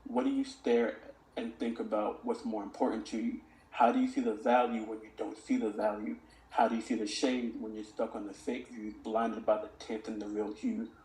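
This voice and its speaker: A man's voice: on the low side, level tone, normal speed, a little mellow. A young man speaking earnestly as a podcast guest, reflecting on mentoring kids and reciting his own lyrics. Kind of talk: reflective conversational interview. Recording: echoey room.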